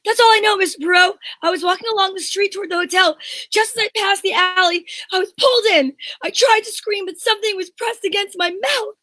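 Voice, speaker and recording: Radio mystery victim, female performer: polite young woman, shaken, melodramatic, extremely high voice, dry sound. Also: brisk, pushed and bright, no room sound. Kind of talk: old-time radio detective drama acting.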